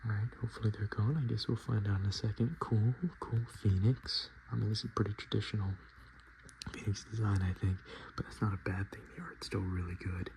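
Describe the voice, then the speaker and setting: A man speaking softly and often whispering in a casual ASMR style, with hesitant, halting, murmured delivery. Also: on the low side, fairly even intonation, creaky, poor audio. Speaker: ASMR video host. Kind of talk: soft-spoken and whispered ASMR, casual commentary. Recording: noticeable background noise.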